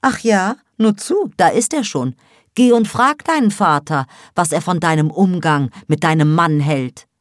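A woman's voice: a bass voice, expressive, measured pace, a little mellow, slightly rough, dry recording, heavily acted. Woman acting older mother, audiobook dialogue, stern, scolding, dismissive, insistent, dry sound. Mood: scornful challenge. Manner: scolding, pressing with rhetorical questions.

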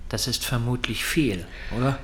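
A man's voice: mid-range voice, normal speed, fairly bright tone, lightly acted. An actor playing a male kidnapper who interrogates coolly and insistently in a German radio drama. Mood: coolly probing.

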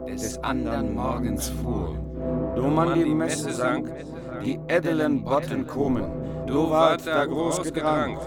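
A man reciting medieval verse in a slow, chanting manner. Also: medium pitch. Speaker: narrator. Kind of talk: reciting Middle High German verse. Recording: loud music behind.

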